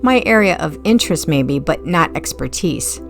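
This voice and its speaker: A woman's voice: low voice, expressive, normal speed, gravelly, fairly bright tone, soft background music, exaggerated voice. A woman voicing an eager, teasing companion fascinated by the supernatural in an audiobook, earnest and casual.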